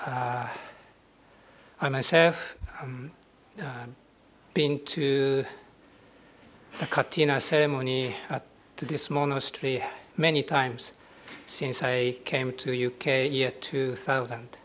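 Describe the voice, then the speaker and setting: A middle-aged Japanese man speaks with a Japanese accent in a gentle, husky voice. As a Buddhist monk giving a ceremonial talk, he searches for his words with frequent pauses and soft hesitations. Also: mid-range voice, fairly even intonation, a little hiss or hum. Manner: soft-spoken, hesitant, with many pauses and fillers.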